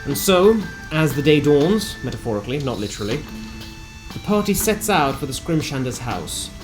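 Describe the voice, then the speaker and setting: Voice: mid-range voice, very animated, normal speed, low-quality recording. A narrator reading a scripted recap of a tabletop fantasy game in a theatrical storytelling manner with wry asides. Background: music.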